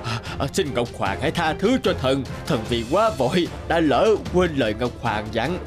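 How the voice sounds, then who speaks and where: A man's voice: medium pitch, animated, normal speed, natural voice. Man voicing a flustered, bumbling old heavenly servant. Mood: apologetic, nervous. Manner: hesitant, fretful, apologetic. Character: bumbling, flustered old male sower god, meek servant. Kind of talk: animated fairy-tale dubbing. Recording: music behind the voice.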